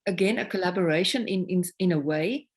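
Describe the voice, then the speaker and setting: A woman from South Africa whose first language is Afrikaans, speaking English with a South African accent. She is a singer-songwriter guest talking about her work in a reflective, unhurried way, often pausing to search for words. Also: on the low side. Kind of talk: casual interview conversation.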